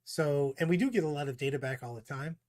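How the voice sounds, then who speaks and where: A man's voice: a little high, fast, darkish tone. A man co-hosting a casual tabletop gaming discussion, talking emphatically and repeating words as he starts his points. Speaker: podcast co-host. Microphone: studio mic.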